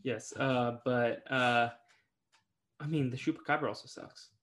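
A man's voice: mid-range voice, steady tone, unhurried, fairly bright tone. Rambling podcast co-host man. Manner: rambling, many fillers and laughs. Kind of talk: casual chat.

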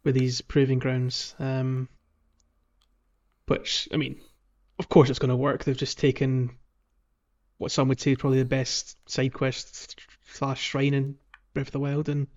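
A man's voice: medium pitch, monotone, measured pace, highs cut off. A man co-hosting a gaming podcast in casual, rambling chat, thinking aloud as he goes. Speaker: podcast co-host.

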